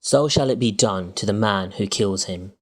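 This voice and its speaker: A man's voice: lowish voice, moderate pace, close to an everyday voice. A man playing a soldier reporting to his comrades in a dramatised Bible reading. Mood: grave reporting.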